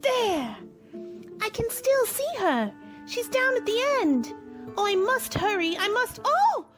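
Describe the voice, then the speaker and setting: A woman playing a curious, dreamy young girl in an old-time radio play, with wide-eyed, drawn-out delivery. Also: very high voice, sing-song, soft background music, full character voice. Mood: eager, hurried.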